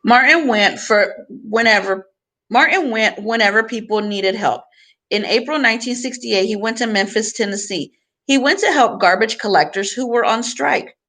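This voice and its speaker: A woman's voice: on the high side, moderate pace, sharp tone. Woman teacher reading a storybook aloud. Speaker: teacher reading a picture book aloud to students.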